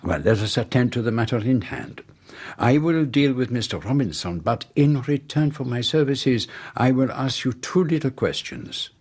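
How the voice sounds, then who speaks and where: A shrewd, fussy detective of a radio play with a French-speaking European accent. His voice is slightly husky, and he speaks in precise, courteous, deliberate phrases. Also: medium pitch, lively intonation, a little mellow. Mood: calm, shrewd. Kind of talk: radio drama acting and first-person narration.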